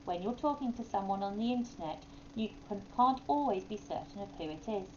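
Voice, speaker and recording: Woman playing a self-assured wise owl, animated delivery. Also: mid-range voice, a little fast, lo-fi sound, slight background noise, lightly acted. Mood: serious, advising.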